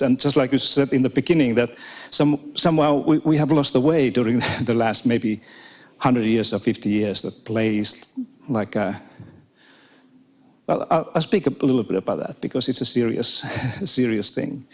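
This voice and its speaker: A man with a Finnish accent, a native Finnish and Swedish speaker, giving a chatty, hesitant keynote talk to a live audience and laughing often. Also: medium pitch. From Finland. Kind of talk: conference talk. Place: conference hall with live audience.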